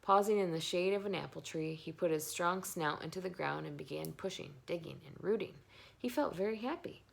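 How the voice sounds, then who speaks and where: A woman narrates a children's book aloud. Her voice sits a little low and has a clear creak to it, with expressive storytelling delivery. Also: fairly quick. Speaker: story reader.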